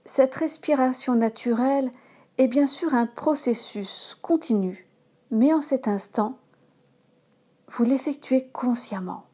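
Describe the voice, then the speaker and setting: Calm lady doing guided yoga relaxation, really slow, mellow voice, short measured instructions. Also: high-pitched.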